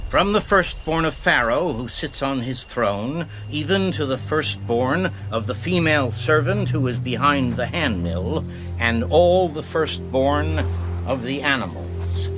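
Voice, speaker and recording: An actor playing a solemn, resolute prophet in a dramatised Bible reading, grave and declamatory. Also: male voice, mid-range voice, expressive, unhurried, over music, close to an everyday voice. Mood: solemn warning. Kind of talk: dramatised audio Bible acting.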